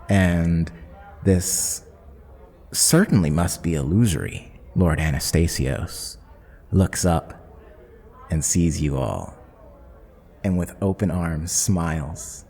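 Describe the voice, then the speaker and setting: A male game master narrates a fantasy scene. His voice is very low and mellow, with long reverb and music underneath.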